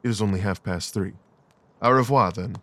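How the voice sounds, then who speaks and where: A man playing a brilliant, coolly rational gentleman detective in an audio drama, speaking in a precise, clipped, analytical way. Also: lowish voice, normal speed, a little hiss or hum, close to an everyday voice. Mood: keen, decisive.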